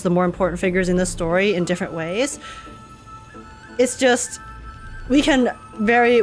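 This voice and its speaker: A woman streaming a game with casual, slangy, joking live commentary and the odd swear word. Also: mid-range voice. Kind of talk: casual live commentary, reading dialogue aloud. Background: game music.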